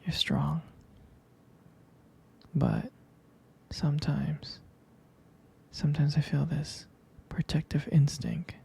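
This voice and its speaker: A man playing a jealous, protective, devoted young boyfriend in an intimate ASMR roleplay, whispering hesitantly in a breathy, husky voice. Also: a little high, flat delivery, exaggerated voice. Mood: tender, jealous. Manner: hesitant, slow, halting, soft-spoken.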